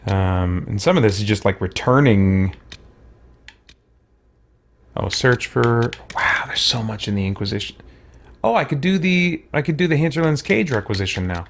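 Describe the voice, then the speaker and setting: A man's voice: mid-range voice, expressive, moderate pace, long pauses. A man giving casual let's play commentary, thinking aloud and muttering to himself as he goes, often repeating words. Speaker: gamer hosting a let's play.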